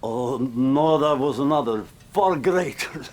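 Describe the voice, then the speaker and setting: An actor playing an eccentric Soviet scientist in a game, rambling and halting. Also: male voice, mid-range voice, animated, a little mellow, natural voice. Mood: flustered, eager. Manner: halting, flustered.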